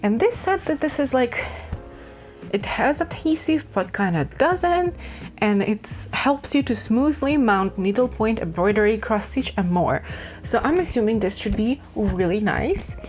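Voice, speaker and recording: A woman's voice: on the high side, lively intonation, measured pace, darkish tone, faint music behind. Woman from ukraine rambling about crafts at home, bouncy up and down voice, lots of um and like. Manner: chatty, rambling, with many fillers and restarts. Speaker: craft vlogger. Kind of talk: casual chat to camera.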